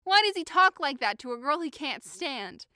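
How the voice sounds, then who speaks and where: A woman's voice: sits high, sing-song, moderate pace, sharp tone, no echo, clean studio sound, heavily acted. A woman playing a hurt, indignant young woman in a modern-English Shakespeare audio drama, impassioned, accusing and sarcastic. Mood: suspicious, accusing. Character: hurt, indignant young woman convinced she is being mocked.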